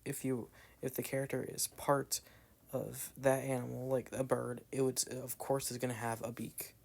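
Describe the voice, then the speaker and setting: Gravelly Southern man rambling over gameplay. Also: mid-range voice, completely flat delivery, normal speed, darkish tone. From Southern United States. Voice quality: meandering with frequent "like" fillers and trailing asides. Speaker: gameplay commentator. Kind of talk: rambling casual commentary.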